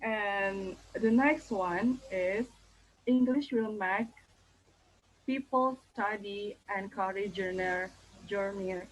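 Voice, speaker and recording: Slow, hesitant Indonesian-accented young woman. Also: medium pitch, a little hiss or hum, poor audio. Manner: careful, hesitant, many fillers and restarts. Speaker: thesis candidate. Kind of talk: thesis defense presentation.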